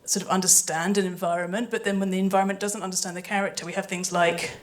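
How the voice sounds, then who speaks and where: A woman's voice: on the low side, steady tone, fast. A woman speaking thoughtfully on a panel, explaining creative work in a hesitant, explanatory way into a handheld microphone. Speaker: audio drama composer and panelist. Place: live festival panel. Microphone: handheld microphone passed between panelists.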